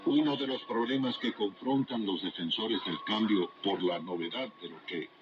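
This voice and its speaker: A man reading formal, measured scripted commentary as a broadcaster over shortwave radio. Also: on the low side. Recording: muffled shortwave broadcast with heavy static. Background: radio noise.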